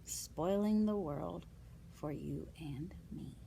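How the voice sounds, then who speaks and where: A woman's voice: low-pitched, slow, creaky, dark tone, slight background noise. A woman reading non-fiction picture books aloud to young children, adding casual asides, simple explanations and questions to the listeners.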